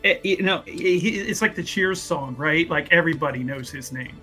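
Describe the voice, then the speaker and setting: A man's voice: on the high side, moderate pace, pushed and bright, faint music behind. A man talking casually as a player in a tabletop roleplaying game, explaining his character's moves.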